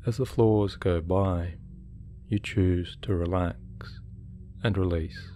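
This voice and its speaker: A man guiding a hypnosis meditation in a soothing, measured way with deliberate pauses. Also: low voice, steady tone, dark tone. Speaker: hypnotherapy guide. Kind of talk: guided meditation, hypnosis narration. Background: relaxation music.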